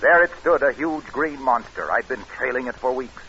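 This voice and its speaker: An actor playing a boastful, mock-heroic pitchman in a vintage radio advert, on a dull old recording. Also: normal speed, bright, forceful tone, breathy edge, heavily acted. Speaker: radio announcer in comic sponsor sketch. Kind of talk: 1940s-50s radio advertising patter.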